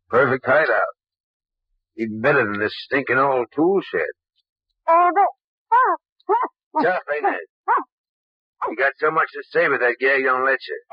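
A man's voice: on the low side, dry recording. An American actor playing a menacing thug kidnapper in old-time radio crime drama, with taunting, slangy tough-guy talk. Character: sneering, menacing thug kidnapper, a butler gone bad. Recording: dull, muffled old radio recording.

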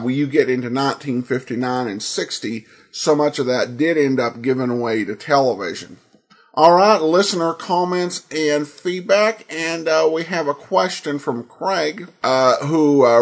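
An American man hosting a podcast with informal commentary, hesitant and full of filled pauses. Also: mid-range voice. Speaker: podcast host.

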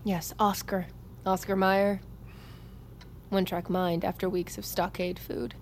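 A woman's voice: mid-range voice, flat delivery, unhurried, a little hiss or hum, lightly acted. A young woman playing a wisecracking, sarcastic army private in custody in an audio drama. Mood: sarcastic, flippant. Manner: sarcastic, flippant, joking. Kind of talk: naturalistic audio fiction acting.

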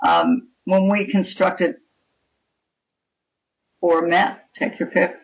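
A woman's voice: lowish voice, very animated, very slow, soft background music. Woman author in dull, lo-fi sound. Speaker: guest author. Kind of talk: reflective interview talk.

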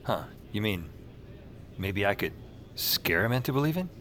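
A man's voice: lowish voice, sing-song, normal speed, sharp tone, slight background noise. An actor playing a weary, wry male emergency call-taker who narrates his troubles in a casual way in an audio drama. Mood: wry, downbeat. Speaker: lead character and narrator. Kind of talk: noir-like first-person audio drama narration and dialogue.

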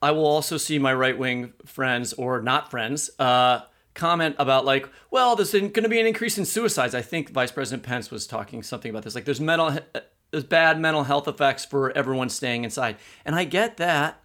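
A man's voice: mid-range voice, brisk, a bit bright. A man co-hosting a discussion, conversational and opinionated, restarting sentences as he makes his point. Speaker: podcast co-host.

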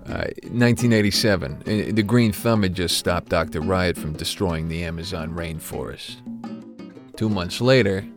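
A man's voice: sits low, moderate pace, over music. An actor playing a retired, conscience-stricken corporate executive, an older man reminiscing in a documentary interview.

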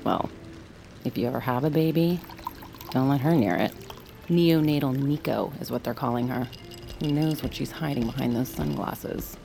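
A woman playing a poised, flattering and gossipy psychiatrist in an audio drama, with an urbane, arch manner. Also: extremely low pitch, moderate pace, creaky, faint music behind.

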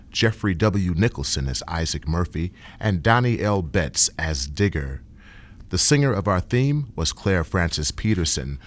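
A man announcing the closing credits of a radio program in a steady, formal way. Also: sits low.

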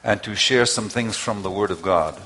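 A man preaching in English through an interpreter, speaking in short phrases with pauses left for the translation. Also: on the low side, sharp tone, slight background noise. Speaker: guest preacher. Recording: long hall reverb.

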